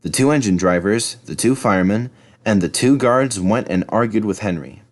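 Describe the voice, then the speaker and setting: A man reads a children's story aloud in a lowish voice. He narrates as a storybook reader. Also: normal speed.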